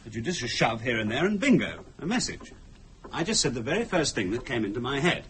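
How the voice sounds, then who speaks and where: A man's voice: medium pitch, very animated, fast, fairly bright tone, slight background noise. A man acting in a radio drama as a glib, teasing husband who talks wryly and likes to reason aloud. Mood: sheepish, defensive. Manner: wry, talkative, reasoning aloud.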